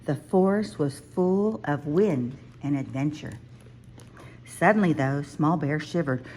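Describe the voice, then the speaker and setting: A woman reads a children's storybook aloud. Her pace is slow and deliberate, and her voice sits a little low. She phrases the narration expressively. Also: a little mellow, a little hiss or hum. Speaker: children's book reader.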